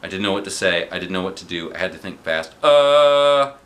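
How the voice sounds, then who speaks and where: A man narrating a children's storybook aloud in an animated style. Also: sits low, fast, slight background noise. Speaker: storybook reader.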